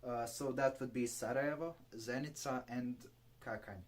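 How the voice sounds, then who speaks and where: A man from Bosnia and Herzegovina with a Bosnian accent, giving a technical slide presentation in a halting, hesitant way full of fillers. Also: mid-range voice, steady tone. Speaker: amateur radio presenter at an online conference.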